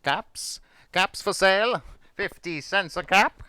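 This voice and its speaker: A man voicing an exasperated, scolding storybook cap peddler with emphatic, drawn-out, stammering shouts. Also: high-pitched, smooth, dry recording, voice pushed up, exaggerated voice. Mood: calling out wares.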